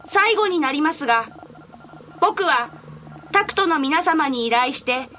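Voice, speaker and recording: A woman plays a small boy prince in an anime-style radio drama. The voice is high and bright, earnest and very polite, with careful formal delivery over faint noise. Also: lively intonation, measured pace, exaggerated voice. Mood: earnest, grateful. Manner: formal, careful letter reading.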